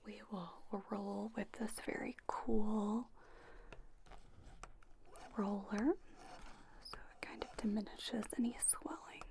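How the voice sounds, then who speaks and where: A woman whispering breathily in an ASMR spa roleplay, gently giving reassuring step-by-step instructions. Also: medium pitch, flat delivery, slow pace, pauses often, slight background noise. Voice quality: breathy, mostly unvoiced whisper. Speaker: ASMR creator playing a spa owner teaching facials.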